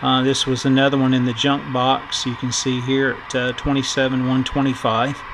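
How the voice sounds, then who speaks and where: A middle-aged man giving a casual electronics tutorial in a halting, thinking-aloud way, as the host of a repair video. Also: medium pitch, monotone. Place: indoor workbench. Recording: clear background noise.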